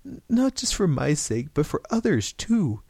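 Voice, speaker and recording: A man's voice: on the high side, sing-song, unhurried, gravelly, a little mellow, breathy edge, dry recording, pitched above the performer's own voice, heavily acted. A man playing a desperate man in a story reading, gasping, stammering and pleading. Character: desperate, pleading unhappy man wanting his life back.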